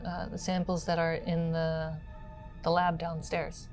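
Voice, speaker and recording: A woman acts out a young girl stranded on a spaceship in a tabletop game. The voice is low, solemn and hesitant, over faint music. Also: monotone, measured pace, natural voice. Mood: hesitant, matter-of-fact.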